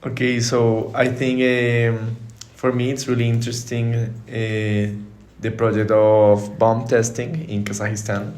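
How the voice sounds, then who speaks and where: A young man from Colombia with a Colombian Spanish accent, speaking calmly as a guest on a student podcast. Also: mid-range voice, unhurried, few pauses. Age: young adult. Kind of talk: casual podcast chat.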